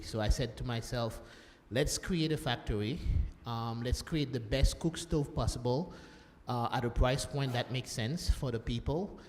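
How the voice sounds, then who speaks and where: A man's voice: medium pitch, a little mellow. A man from Haiti speaking on a conference panel, talking with many fillers and restarts. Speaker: panelist, social entrepreneur. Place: conference hall. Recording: long hall reverb, faint room noise.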